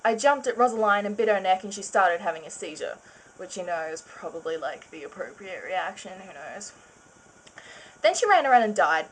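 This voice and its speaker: A woman's voice: medium pitch, lively intonation, moderate pace, low-quality recording. A woman giving a mock-dramatic reading of fan fiction, giggling and breaking off for sarcastic asides. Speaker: reader of her own fan fiction.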